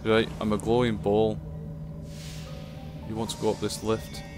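A man's voice: on the low side, completely flat delivery, a little slow. A man casually commentating his gameplay, chatty and self-mocking, swearing at himself when stuck. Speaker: let's play commentator. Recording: game music playing behind.

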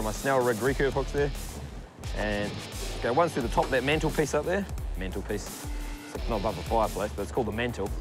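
A man with a New Zealand accent hosting a fishing show, chatting casually and explaining his rig outdoors by the sea. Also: mid-range voice. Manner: excitable, laughing, explains rigs as he goes. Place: outdoors on a boat and on rocks by the sea. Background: music.

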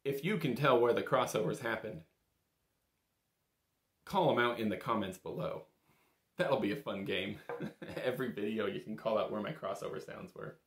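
A man's voice: on the low side, normal speed, pauses often. A man in his thirties giving an informal, step-by-step music lesson in an easygoing, encouraging way, recorded in a small room. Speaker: bagpipe instructor. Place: small indoor home workroom. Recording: roomy, echoing small-room sound.

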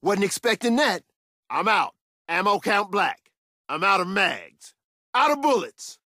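A cocky, battle-ready soldier from a video game. He has a lively, widely swinging pitch and throws out short, punchy lines with swagger. The sound is dry and close. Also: measured pace, no echo, heavily acted. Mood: matter-of-fact. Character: tough, confident male soldier, upbeat battlefield fighter. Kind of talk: video game combat barks.

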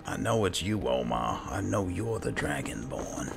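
A man with a gravelly voice giving casual roleplay commentary as he plays a video game. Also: sits low, very animated, unhurried, music in the background. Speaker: gamer, roleplaying host.

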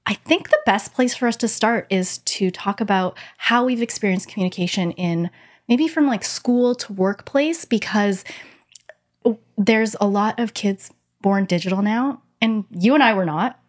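Lively young woman hosting a podcast. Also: medium pitch, unhurried. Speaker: podcast host. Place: small cozy studio room, indoors. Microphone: boom-mounted studio mic.

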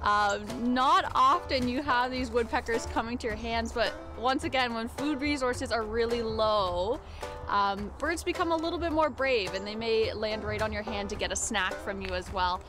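A woman's voice: on the high side, moderate pace, over music. A woman in her twenties explaining things informally to camera outdoors as a nature guide. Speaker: community program coordinator, nature guide. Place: outdoors in snowy woods.

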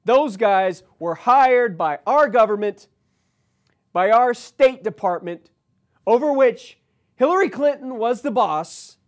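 An American man in his forties giving political commentary, reading news aloud with emphatic, halting asides and repeating himself. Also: very high voice, animated. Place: indoor studio set, seated at a desk.

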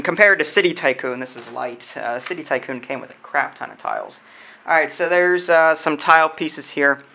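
A man's voice: on the high side, sharp tone. A man hosting an unboxing video, chatting casually and thinking aloud as he handles things. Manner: informal, thinking aloud while handling items. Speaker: host of a board game unboxing channel. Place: indoors at a wooden table.

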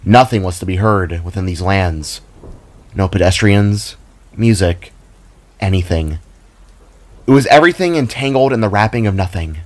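A man with a fairly low voice narrates a fantasy story. His delivery is scripted and dramatic, in the manner of an audiobook. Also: expressive, normal speed, long pauses. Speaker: author and narrator.

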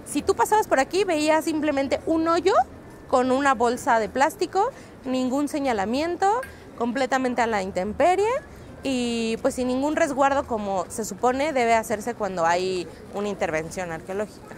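A Mexican woman in her thirties, a reporter, talks in a high, clear voice. She explains in long, fluent stretches with the odd "eh" filler. Faint city street noise sits behind her. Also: animated, normal speed. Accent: Mexican Spanish. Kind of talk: street interview. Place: outdoors on a busy city street. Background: faint street ambience.

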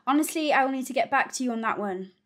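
Woman acting a breezy busy lady in a phone drama, highish voice, polite but evasive, chatty and natural. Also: expressive, fast talker, a bit bright.

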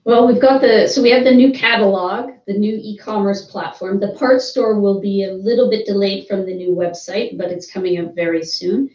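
A woman's voice: mid-range voice, steady tone, normal speed, hardly any pauses, darkish tone. A woman representing a company talks over a video call in an upbeat way, with lots of fillers and restarts. She sits away from the mic in an echoey office. The sound comes across dull. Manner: upbeat, hesitant with many fillers. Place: office room, seated with a colleague. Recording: roomy, distant, dull video call audio.

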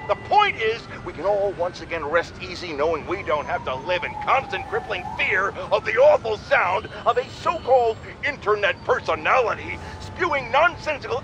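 A man's voice: very high voice, full character voice. An actor playing an irascible, self-important male radio pundit in a video game, bombastic and sarcastic as he rants to his listeners. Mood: smug. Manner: bombastic, sarcastic, exaggerated indignation. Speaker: radio talk show host. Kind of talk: opinionated radio rant. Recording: clear background city noise from gameplay.

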